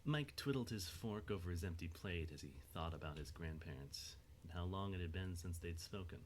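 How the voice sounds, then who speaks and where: Deep-voiced male narrator. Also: brisk. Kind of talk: scripted story narration.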